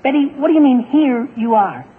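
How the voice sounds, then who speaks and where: An actor playing a flustered, excitable teenage boy in a 1940s radio comedy, fretful and gushing. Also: extremely high pitch, fairly quick. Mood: exasperated. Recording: tinny, noisy 1940s radio broadcast.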